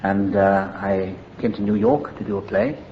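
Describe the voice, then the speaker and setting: A man's voice: lowish voice, steady tone, normal speed, muffled recording, slight background noise. Older Welsh man telling his story. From Wales. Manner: reflective, candid, wry storytelling. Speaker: AA meeting speaker. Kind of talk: personal recovery story at a meeting.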